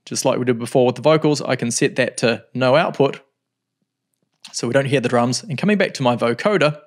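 A man in his thirties teaching music software in a home studio, explaining calmly with frequent ums. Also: medium pitch, fairly quick. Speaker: music production tutor. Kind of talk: screen-recorded software tutorial.

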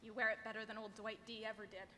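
Woman playing a wistful, bright-voiced underworld spirit. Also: medium pitch, level tone, fast talker, close to an everyday voice. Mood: wistful, teasing. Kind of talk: live table read of a sci-fi comedy script. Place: large convention hall panel stage. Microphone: table microphone on stand. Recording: echoey, noisy convention hall.

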